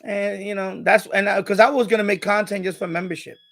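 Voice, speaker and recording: A man's voice: high-pitched, steady tone, fairly quick, creaky, a bit bright. An older man hosting a live stream with casual, rambling chat, often hesitating and repeating himself.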